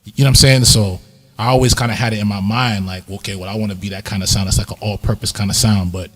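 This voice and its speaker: A quick-talking man from Tobago with a husky, slightly low voice. He tells his story in a relaxed, laid-back way, with plenty of fillers, in an easy interview chat. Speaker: sound system selector.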